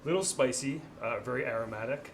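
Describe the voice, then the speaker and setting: A man's voice: medium pitch, fairly quick, a little hiss or hum. A man working as a chef, plainly explaining dishes in a casual studio interview. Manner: matter-of-fact, explains plainly.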